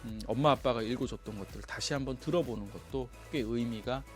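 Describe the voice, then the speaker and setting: A man's voice: medium pitch, quick pace. A man hosting a parenting education channel, giving informal, explanatory recommendations and advice directly to parents. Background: background music.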